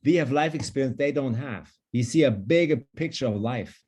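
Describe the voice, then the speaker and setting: Enthusiastic German-accented man, mellow voice. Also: medium pitch, animated, normal speed, slightly rough. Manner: enthusiastic, emphatic. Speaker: longevity coach. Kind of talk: online panel discussion. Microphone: video call.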